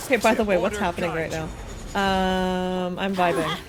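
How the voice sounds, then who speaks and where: A woman's voice: lowish voice, fairly even intonation, moderate pace. A woman livestreamer chatting casually while gaming, thinking aloud in a playful, rambling way with silly slang. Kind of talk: casual gaming stream chat. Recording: game music behind the voice. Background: game music.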